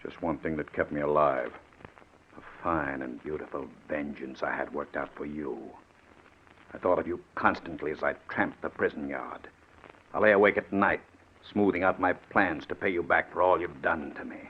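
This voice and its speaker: An actor in an old radio suspense play playing a bitter, vengeful ex-convict, speaking with sardonic, deliberate menace. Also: male voice, very deep voice, a little hiss or hum. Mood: cool hatred. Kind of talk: 1940s radio suspense acting. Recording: muffled old radio recording.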